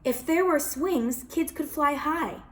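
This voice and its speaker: A woman's voice: sits high, slow pace, voice pushed up. A woman voicing a young girl who pleads earnestly in a letter, read in a children's storybook. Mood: earnest, pleading. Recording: roomy echo.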